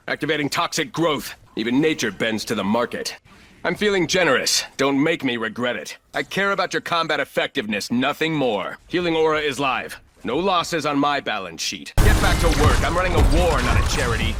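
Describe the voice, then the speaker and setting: An actor playing a ruthless, arrogant corporate executive turned combat operator in a video game, speaking in clipped, commanding, coolly condescending lines. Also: male voice, medium pitch, animated, moderate pace, fairly bright tone, slight background noise, close to an everyday voice. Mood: urgent, forceful. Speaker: playable military shooter operator.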